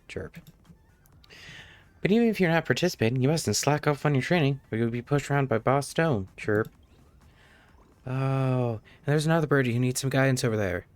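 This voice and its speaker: A man's voice: medium pitch, animated, moderate pace, pauses often, no room sound, close to an everyday voice. Man reading as small chirpy bird, dry sound. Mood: friendly, instructing. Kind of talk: reading game dialogue aloud.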